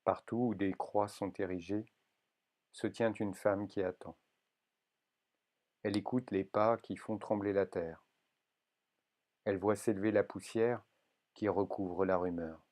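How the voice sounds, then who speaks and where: A man giving a solemn poetry reading, with measured and deliberate phrasing. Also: lowish voice, fairly even intonation, darkish tone. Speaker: poetry reader.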